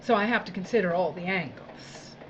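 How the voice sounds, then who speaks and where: A woman's voice: lowish voice, lively intonation, a little fast, a little hiss or hum, close to an everyday voice, rough recording. An actress playing a domineering, sadistic female boss in an audio drama, with a condescending, mock-sweet, imperious drawl. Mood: toying, condescending. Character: domineering, theatrical, sadistic female boss of dystopian work crews. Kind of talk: theatrical audio drama acting.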